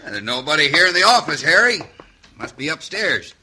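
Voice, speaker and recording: Sardonic drawling Western outlaw boss. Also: male voice, fairly high pitch, big pitch swings, normal speed, sharp tone, husky, heavily acted, rough recording. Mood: mocking menace. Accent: American Western. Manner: drawling mock-folksy cowboy talk, mockingly innocent. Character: cruel, sardonic Old West bandit boss. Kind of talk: old-time radio western acting. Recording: vintage radio recording, faint hiss.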